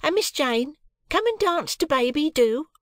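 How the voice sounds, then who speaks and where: A brisk Victorian nursemaid, acted by a man in an audiobook. This working-class woman is bossy and scolding. The pitch is high and very animated, recorded dry. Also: dry recording, heavily acted. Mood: fussing, ordering. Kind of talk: audiobook character voice in a Victorian novel.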